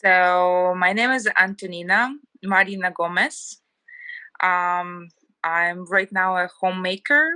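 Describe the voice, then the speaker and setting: A woman's voice: lowish voice, slow pace, bright, forceful tone, clean tone, no room sound. A woman in her thirties from Ukraine with a Ukrainian accent, chatting as an interview guest with lots of "like" fillers. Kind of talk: casual interview chat.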